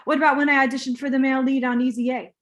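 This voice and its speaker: A woman's voice: high voice, little pitch movement, racing, lightly acted. A woman reading the part of an easygoing, naive male actor with a fading career, earnest and casual, in a comedy table read. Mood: defensive, hopeful.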